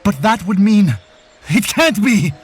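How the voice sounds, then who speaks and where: A man playing a courteous, excitable aquatic prince in a fantasy audio drama, theatrical and husky. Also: high-pitched, slow, soft background music, heavily acted. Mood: alarmed. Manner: theatrical, voice cracking when alarmed.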